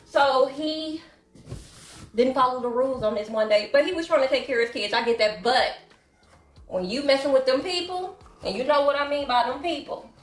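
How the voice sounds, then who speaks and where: A woman talks to her viewers while cleaning her living room. Her voice sits a little high, and her talk is chatty and gossipy. The camera stands across an echoey room. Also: moderate pace. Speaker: vlogger. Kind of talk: casual chat while cleaning. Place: home living room with hardwood floor. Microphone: camera set up across the room.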